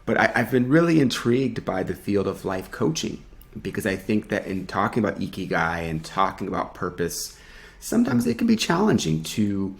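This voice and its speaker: A man's voice: fairly low pitch, creaky. A man co-hosting a video podcast, talking in an earnest, reflective way and reading a guest introduction. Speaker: podcast co-host.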